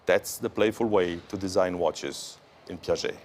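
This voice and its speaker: Low-voiced man presenting luxury watches. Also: a little hiss or hum. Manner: careful, admiring, pauses between phrases. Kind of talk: live show product walkthrough.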